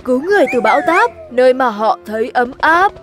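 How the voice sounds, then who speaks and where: A woman voicing an earnest, resourceful lion in a children's cartoon, with an expressive, singsong rhyming delivery. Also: high-pitched, brisk. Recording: light music behind the voice.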